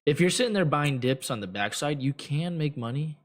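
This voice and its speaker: A man hosting a trading show, giving blunt, rambling advice to viewers with many fillers, his voice getting hoarse. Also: fairly high pitch, animated, a little fast. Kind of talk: casual advice talk to viewers.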